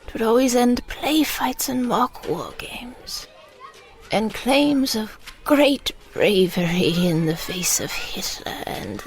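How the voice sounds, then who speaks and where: Frail, dying old woman, played by an actress, reflective and hushed, unhurried pace, gravelly edge, for intimate radio play. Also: mid-range voice, low-quality recording. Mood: sombre, reflective. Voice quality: breathy, whispery, creaky. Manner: slow, halting, reflective storytelling. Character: frail elderly dying mother recalling wartime childhood. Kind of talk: intimate audio drama monologue.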